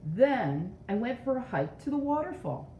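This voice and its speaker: A woman's voice: on the high side, very animated, moderate pace, voice pushed up. A woman voicing an indignant, self-important male storybook character who accuses his friends with sarcastic melodrama. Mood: insistent. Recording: roomy echo, dull sound, faint noise.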